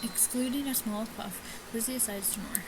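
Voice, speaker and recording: A woman doing casual gameplay commentary, reading game text aloud haltingly and often stumbling and rereading lines. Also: fairly high pitch, noisy background. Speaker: let's player reading a visual novel aloud. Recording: music playing behind the voice. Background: game music.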